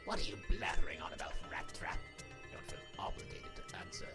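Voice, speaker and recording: A man's voice: rumbling low, quick pace, bright, forceful tone, soft background music. A man voicing a vain, irritable robot ruler in a cartoon fan dub, haughty, theatrical and exasperated. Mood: haughty, annoyed. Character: vain, pompous, irritable robot ruler, self-glorifying schemer.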